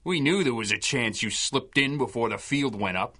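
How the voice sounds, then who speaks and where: A small-time supervillain from an old radio serial. His mid-pitched voice is sharp and forceful. He threatens and gloats in crude gangster slang. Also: lively intonation, moderate pace. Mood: gloating. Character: sneering male supervillain henchman with flame powers. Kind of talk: 1930s radio gangster-villain acting.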